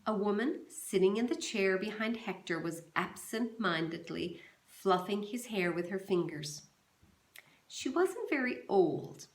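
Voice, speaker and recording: A woman teacher reading a novel aloud to her class in a calm, steady storytelling manner, recorded in a roomy, echoey space. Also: medium pitch. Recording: roomy echo.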